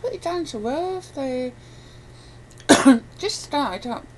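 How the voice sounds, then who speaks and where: A woman's voice: high-pitched, lively intonation, slow pace. A woman speaking informally as an interviewer in a casual, conversational chat. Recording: faint background noise.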